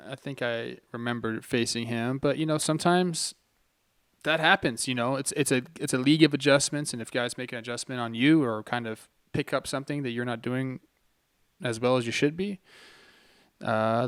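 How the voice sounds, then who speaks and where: A man's voice: medium pitch, clear, resonant tone, no echo. Male sports analyst, conversational, clean resonant voice, dry close recording. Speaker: podcast co-host, former ballplayer.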